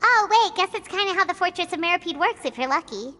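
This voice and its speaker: Squeaky little kid-like game sidekick, cheeky and bouncy, big reverb. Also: female voice, expressive, fast, bright, forceful tone, exaggerated voice. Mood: cheeky, musing. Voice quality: squeaky, childlike. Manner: refers to self in third person. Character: small childlike floating companion, cheeky and chirpy. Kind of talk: video game voice acting.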